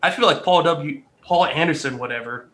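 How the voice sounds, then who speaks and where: A man's voice: a little high, brisk, a bit bright. A man in a casual panel chat, telling stories with dry sarcasm. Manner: sarcastic, trivia-heavy storytelling.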